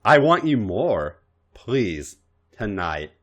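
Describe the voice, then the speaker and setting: A man's voice: lowish voice, very animated, takes it slowly, a bit bright, dull audio, full character voice. A man voicing a vulnerable, yearning young woman in a romance story, in a pleading manner. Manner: breathy, pleading.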